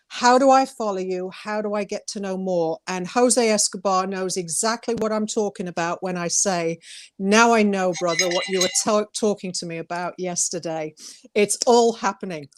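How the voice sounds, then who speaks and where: A woman's voice: medium pitch, no echo. A woman co-hosting a talk show as a poet, speaking earnestly and emphatically and repeating phrases for emphasis. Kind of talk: heartfelt talk and poetry reading.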